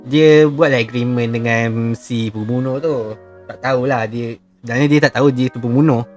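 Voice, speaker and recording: Halting Malaysian man streaming a game. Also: mid-range voice, fairly even intonation, darkish tone, faint music behind. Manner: halting, mixes two languages. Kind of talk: casual livestream commentary.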